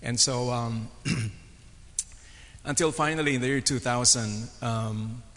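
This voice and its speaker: A man from the Philippines with a Filipino accent, a pastor telling his story with many pauses and fillers. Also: mid-range voice. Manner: halting, many fillers, flat delivery. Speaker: pastor and guest speaker. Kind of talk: personal testimony on a panel. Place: chapel on stage. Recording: long reverb of a chapel.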